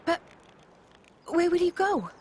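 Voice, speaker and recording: A very high-pitched young woman, feisty and blunt. She takes her time over each line in a brash, exasperated way, as in a video game drama. There is faint background noise. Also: very animated, slow pace, darkish tone, exaggerated voice. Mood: indignant.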